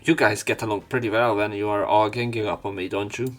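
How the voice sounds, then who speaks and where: A man's voice: on the low side, steady tone, quick pace, faint music behind. A man reading a visual novel aloud as a let's play host, with his own asides.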